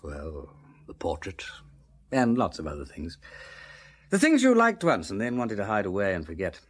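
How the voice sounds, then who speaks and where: An actor playing an urbane, flippant but kindly gentleman detective in a radio drama, with an upper-class English accent and breezy, drawling old-fashioned slang. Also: low voice, huge pitch swings, moderate pace, heavily acted. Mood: probing, sympathetic. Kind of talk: full-cast radio drama acting.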